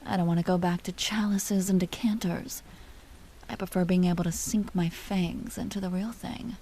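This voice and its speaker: A woman playing an elegant vampire duchess in an audio roleplay, speaking softly with formal, languid, teasing courtesy and an amused, predatory air. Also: on the low side, steady tone, normal speed, a little hiss or hum, close to an everyday voice. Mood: wistful, sheepish. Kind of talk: dramatic roleplay audio performance.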